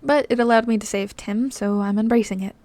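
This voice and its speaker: A woman voicing an earnest young half-ghost man who explains himself slowly and gently in a storybook reading. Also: fairly high pitch, no room sound, close to an everyday voice. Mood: tentative.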